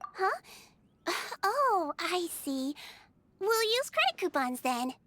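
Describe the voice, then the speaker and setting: A woman voicing a small, cheerful, caring melusine nurse girl in a video game, with a sweet, polite and gently quirky manner. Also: very high voice, big pitch swings, slow, no room sound, heavily acted. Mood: chatty, helpful. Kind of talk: animated video game character voice acting.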